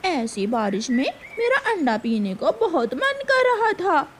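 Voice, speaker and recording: A woman's voice: high-pitched, unhurried, heavily acted. A woman voicing a greedy, eager female crow in a cartoon. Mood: greedy excitement. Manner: excited, eager. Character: greedy female crow craving a stolen egg. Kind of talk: Urdu cartoon voice acting.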